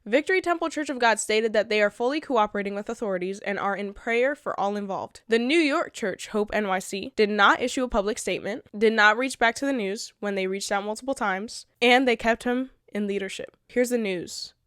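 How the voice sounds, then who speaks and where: Congested woman host, earnest and blunt, smooth clear tone in a dry close sound. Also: medium pitch, a little fast, hardly any pauses, fairly bright tone, no echo. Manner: earnest, blunt, reads from notes. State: congested from recent sickness. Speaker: channel host. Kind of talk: casual commentary video.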